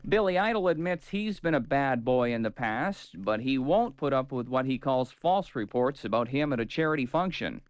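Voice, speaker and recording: A man announces entertainment news on the radio. His voice sits at a medium pitch in a dry studio, and he moves between scripted copy and chatty asides. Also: animated, normal speed, hardly any pauses, smooth, no echo.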